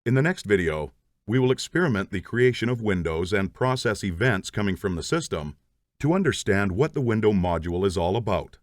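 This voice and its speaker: A man's voice: fairly low pitch, sing-song, brisk, no echo. Man, methodical tutorial narration, dry close sound. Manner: methodical, explains step by step. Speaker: programming instructor.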